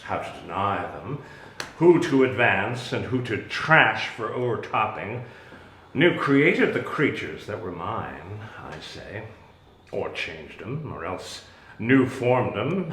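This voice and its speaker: Man acting slow, dramatically swinging Shakespearean wronged duke. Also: medium pitch, fairly bright tone. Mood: bitter, insistent. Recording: echoey room.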